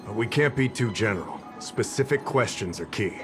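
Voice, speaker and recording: Male actor, easygoing middle-aged officer, lowish voice, chatty and a bit scattered, game cutscene with music. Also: animated, moderate pace, close to an everyday voice. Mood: explaining. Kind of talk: game voice acting.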